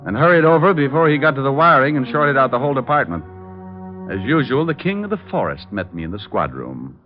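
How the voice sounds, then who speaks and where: A man's voice: medium pitch, big pitch swings, natural voice. An American man acting a wry, sardonic private detective who narrates and quips in an old radio drama. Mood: dry narration. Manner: wry, flippant, quick with quips. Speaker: lead actor and narrator. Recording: dull old radio recording with music.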